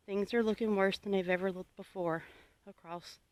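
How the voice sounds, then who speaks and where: An earnest homesteader from the United States speaks straight to viewers in a plainspoken, advisory way. The pitch is mid-range and the sound is close and dry, with very little room in it. The delivery is an informal, reflective monologue. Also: steady tone, normal speed, no echo. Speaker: homesteading vlogger.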